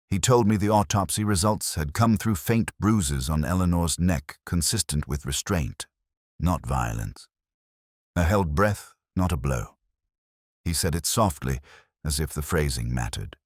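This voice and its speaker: A man narrating a scripted first-person detective story in a measured, brooding, literary manner. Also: extremely low pitch, no echo, studio quality.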